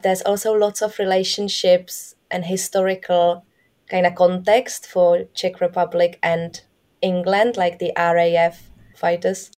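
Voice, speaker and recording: A woman who teaches at a school, answering interview questions in a measured, thoughtful way. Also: on the low side, flat delivery, a little mellow. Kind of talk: interview conversation.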